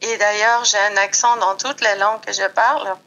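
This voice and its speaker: A middle-aged woman from Iran, speaking French with a Persian accent, talks reflectively in an interview with many pauses and fillers. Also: mid-range voice, fairly even intonation, pushed and bright, dull audio. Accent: foreign accent in French. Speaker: guest. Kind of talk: slow reflective interview talk.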